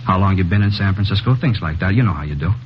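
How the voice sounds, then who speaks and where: A man's voice: low voice, expressive, a little hiss or hum. A nervous young man confessing. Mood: uneasy. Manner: halting, insistent, repeats himself. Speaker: murder suspect. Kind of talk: 1950s radio drama acting. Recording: dull old radio broadcast recording.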